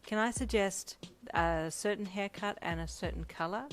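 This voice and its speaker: A woman voicing a polite, obliging hairdresser with a deep, baritone, masculine voice in an audio story. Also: normal speed, close to an everyday voice.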